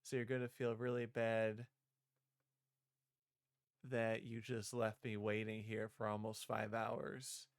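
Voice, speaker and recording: A man's voice: on the low side, completely flat delivery, slow, pauses often, clear, resonant tone, no room sound, clean studio sound. A man reading visual novel dialogue aloud and performing the parts, with casual asides as a streamer.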